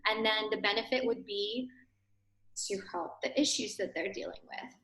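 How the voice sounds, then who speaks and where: A woman's voice: medium pitch, fairly even intonation, moderate pace, a bit bright, roomy sound, poor audio. A woman in her thirties from Ukraine with a Ukrainian accent, a fitness studio owner explaining things casually in an interview, with frequent fillers and tag questions.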